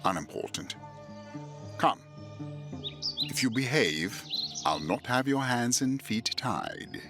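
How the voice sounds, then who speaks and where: An actor playing a wealthy, menacing lord in an audio drama, drawling formally with condescending, cool amusement. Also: male voice, medium pitch, lively intonation, slow pace, over music. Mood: smug, menacing.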